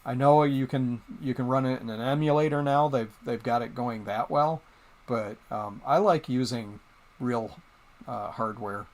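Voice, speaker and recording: A middle-aged man from Michigan hosting a casual live stream, rambling with plenty of pauses and restarts as he talks to viewers in chat. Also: mid-range voice. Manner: rambling, hesitant, many ums and restarts.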